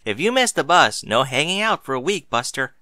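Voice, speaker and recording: A man's voice: fairly high pitch, big pitch swings, fairly quick, sharp tone, no room sound, voice pushed up. A man voicing an exasperated, stern mother of children in an audiobook, speaking in an emphatic, motherly way. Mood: scolding. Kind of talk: audiobook character voice.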